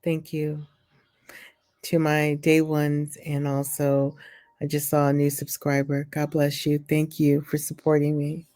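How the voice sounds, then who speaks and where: Woman vlogger, low mellow voice, unhurried; reads news and trips on names, then chats her own views. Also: completely flat delivery. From California. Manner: reads haltingly, stumbles on names, then adds chatty opinions. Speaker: political commentary vlogger. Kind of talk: reading news aloud and commenting.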